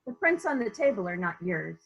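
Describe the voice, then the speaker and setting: A woman playing a brisk, probing investigator who questions witnesses deliberately in a murder mystery reading. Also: medium pitch, very animated, vocal fry, dull audio. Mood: pointed, businesslike.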